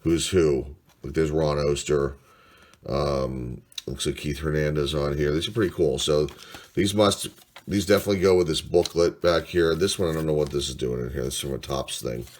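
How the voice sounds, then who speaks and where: A man's voice: extremely low pitch, moderate pace, darkish tone. A man in his forties with a congested, stuffy voice, rambling casually through old finds and thinking aloud with lots of fillers. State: congested from a sinus cold. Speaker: sports card collector. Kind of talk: casual show-and-tell vlog.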